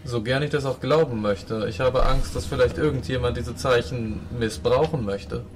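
A man talking in character as a player at a tabletop role-playing game. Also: moderate pace. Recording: loud music and rumble behind voice.

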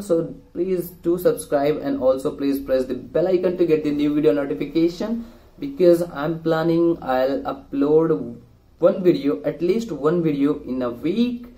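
A man's voice: fairly high pitch, dark tone. A young man with an Indian accent, a native Hindi speaker, talking casually as a vlogger to camera. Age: young adult.

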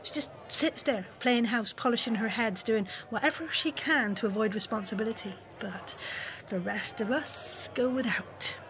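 Bright, indignant townswoman. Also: on the high side, normal speed, slight background noise. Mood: exasperated. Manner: indignant, forthright. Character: fed-up, outspoken townswoman leading a protest. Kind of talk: audio drama acting.

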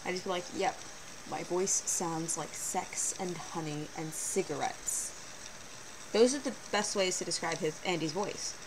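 A teenage girl vlogging from her bedroom, talking casually and animatedly with sarcastic asides in a fairly low voice for a girl. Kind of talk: casual webcam vlog. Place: small bedroom, indoors. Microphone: built-in webcam mic. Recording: noisy low-quality webcam recording.

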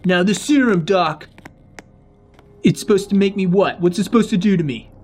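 A man's voice: high-pitched, moderate pace, a little mellow. A man acting a scheming but very polite, apologetic male robber in a game roleplay. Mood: eager.

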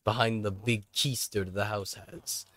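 Low-voiced man chatting over a game. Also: steady tone, a little slow, a bit bright, dry recording. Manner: jokey mock-communist talk, addressing others as comrade. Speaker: gaming streamer. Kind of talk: casual chat while playing Minecraft.

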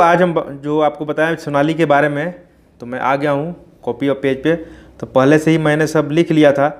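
A man, hosting an informal explainer and often addressing listeners as friends. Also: on the high side. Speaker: poultry farming video host. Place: small plain indoor room.

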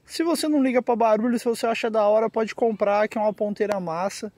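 A man's voice: high voice, fast talker, darkish tone, no echo. A Brazilian man talking casually and with lots of slang, as a motorcycle vlogger filming outdoors. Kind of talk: casual vlog talk to camera, slangy. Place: outdoors on a quiet roadside by trees.

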